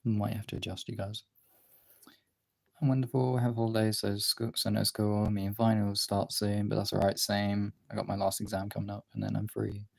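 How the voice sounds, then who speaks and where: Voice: sits low, flat delivery, moderate pace, darkish tone. A young adult livestream host chatting casually and informally while drawing, often muttering to themselves between replies to viewers. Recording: clear background noise, clicks.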